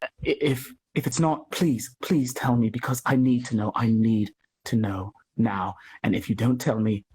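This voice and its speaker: Man putting on an earnest, halting RP gentleman. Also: mid-range voice, husky. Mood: anxious, pleading. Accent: Received Pronunciation, put on. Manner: halting, imploring. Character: earnest, pleading gentleman with refined English accent.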